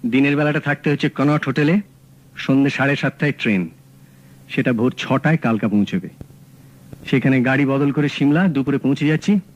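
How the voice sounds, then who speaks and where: An actor playing a calm, shrewd male detective in a radio drama, talking in a measured, dry, matter-of-fact way. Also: medium pitch, lightly acted, poor audio. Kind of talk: 1970s radio drama acting.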